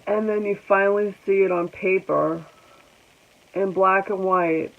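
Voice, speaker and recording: Woman, forties, congested, slow halting vlog talk, gravelly, tinny phone-like sound. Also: mid-range voice, steady tone. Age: 40s. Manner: halting, reflective, with many pauses and ums. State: congested, clearing her throat often. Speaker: vlogger. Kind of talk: personal vlog talking to viewers.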